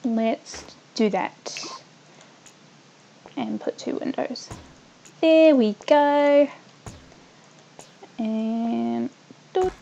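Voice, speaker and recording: A young woman from New Zealand with a New Zealand accent slightly softened by Australia, chatting on a casual gaming livestream and rambling off mid-sentence as she builds. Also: on the high side, extremely slow, vocal fry, pauses often, dull audio, slight background noise. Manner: hums and makes small random noises while working. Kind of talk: casual livestream chat while building in a game.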